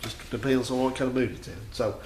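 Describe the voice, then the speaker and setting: A man's voice: medium pitch, steady tone, fairly quick, darkish tone. A man giving a casual hands-on demonstration as a garage host, rambling, thinking aloud and often doubting himself. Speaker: host of a home garage repair channel. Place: home garage. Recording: echoey, noisy garage recording.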